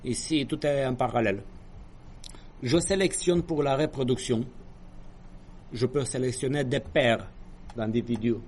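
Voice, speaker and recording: A man's voice: mid-range voice, unhurried, long pauses, a little mellow, a little hiss or hum. A man giving a university lecture, explaining step by step with hesitations, apologetic asides and small jokes.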